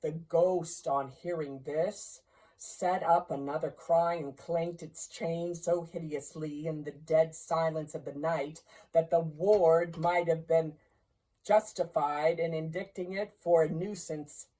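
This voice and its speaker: A woman reading a classic story aloud as dramatic narration, in a measured, deliberate way. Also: sits low, fairly even intonation, mellow, low-quality recording. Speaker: audiobook reader.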